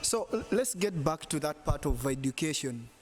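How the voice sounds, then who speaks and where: A young man from Uganda interviewing a guest, quietly nodding along with short murmurs, mic held in a hotel lounge. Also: fairly high pitch, sing-song, moderate pace. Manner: quiet listener, frequent murmured agreement. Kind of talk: casual interview. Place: bright hotel lounge indoors. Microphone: handheld lapel mic.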